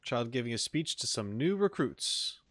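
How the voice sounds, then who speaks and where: A man's voice: medium pitch, very animated, moderate pace, no echo. A man giving casual, wry, joking commentary as he streams a video game.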